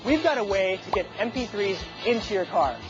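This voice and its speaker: A man's voice: sits high, expressive, brisk. A man presenting a scripted television tech segment, heard on dull archived broadcast audio. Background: music.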